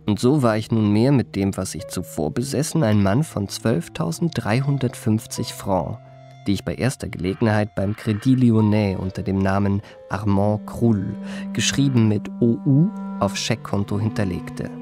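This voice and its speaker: A man's voice: lowish voice, moderate pace, mellow, close to an everyday voice. An actor playing a suave young liftboy and charming con man, narrating his memoirs in a polished, ornate, self-satisfied way in a radio play. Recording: music behind the voice.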